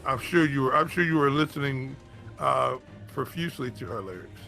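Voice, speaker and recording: A man with a bright, forceful voice that swings widely in pitch, co-hosting in casual banter over music. He is emphatic, teasing and tends to repeat his words. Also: animated, brisk, creaky, poor audio. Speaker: sports show co-host.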